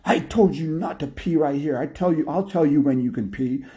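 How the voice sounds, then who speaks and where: A stern talking dog from a homemade tale, played by a man. He is bossy and scolding, lecturing like a strict master in a mellow, dark voice. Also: lowish voice, moderate pace, lightly acted.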